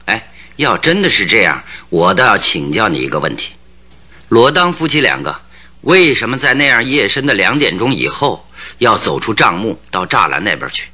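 An actor playing a shrewd, confident detective in a Chinese radio drama, speaking in a theatrical, probing and needling manner. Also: male voice, fairly low pitch, sing-song, normal speed, bright, forceful tone, full character voice. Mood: needling, amused.

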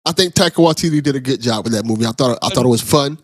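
A man's voice: medium pitch, racing, slightly rough, no echo, clean studio sound. A man hosting a podcast, chatting casually and enthusiastically with a guest. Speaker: podcast host. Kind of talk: casual interview chat.

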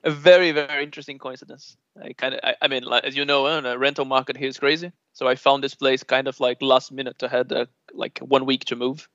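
A man's voice: medium pitch, quick pace, few pauses, no room sound. Brazilian man, Portuguese native, easygoing interviewee; muffled dry recording. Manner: relaxed and chatty, laughs often, many filler uhs. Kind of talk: casual conversational interview. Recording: dull lo-fi dry sound.

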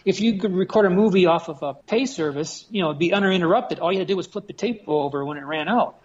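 A man's voice: high voice, quick pace, highs cut off. An American man in his fifties reminiscing casually as a podcast guest, heard over an internet call. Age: 50s.

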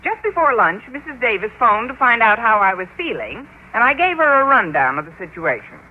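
An American actress playing a wry, quick-witted high school teacher with dry wisecracks in radio sitcom style. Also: female voice, big pitch swings. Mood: wry, exasperated. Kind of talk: 1940s radio sitcom acting. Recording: dull, noisy vintage radio recording.

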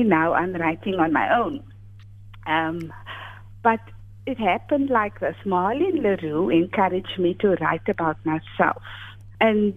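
A woman's voice: fairly low pitch, dry recording. An older South African woman whose first language is Afrikaans, speaking as an author in an interview, thoughtful and hesitant with many fillers. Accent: South African English. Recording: tinny phone line.

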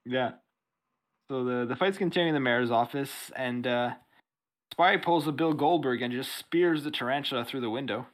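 A man's voice: medium pitch, normal speed. A man co-hosting a casual podcast, recapping a comic book with dry jokes. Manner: laid-back, recaps plot with dry jokes. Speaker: podcast co-host.

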